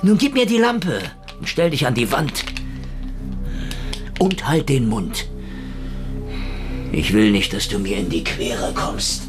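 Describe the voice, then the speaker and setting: An actor playing a tough, middle-aged detective in a noir radio drama, sardonic, taunting and brusque. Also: male voice, medium pitch, very animated, slow, pauses often. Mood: taunting, threatening. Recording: music under the voice.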